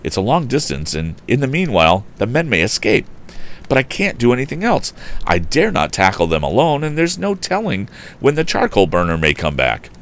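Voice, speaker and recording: Storybook hero played by a man: resourceful young inventor, earnest, determined, boyish, lively swings in pitch. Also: normal speed, a little hiss or hum. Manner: boyish exclamations, thinking aloud. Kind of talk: storybook character voice.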